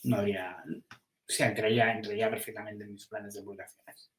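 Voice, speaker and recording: A man's voice: fairly low pitch, little pitch movement, a little fast, roomy sound. A man, a comics publisher, chatting casually on a podcast and thinking aloud as he weighs which titles to publish, with frequent restarts.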